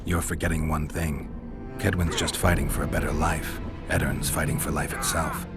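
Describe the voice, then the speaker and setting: An actor playing a stoic, dry-witted mercenary monster hunter in a video game, terse and deadpan. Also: male voice, rumbling low, moderate pace. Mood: wry, measured. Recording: game music behind the voice.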